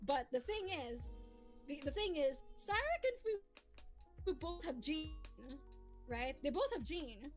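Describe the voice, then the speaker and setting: A woman chatting casually on a livestream, telling stories in an animated, rambling, giggly way. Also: high voice, measured pace. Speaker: streamer and co-host. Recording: voice over faint music.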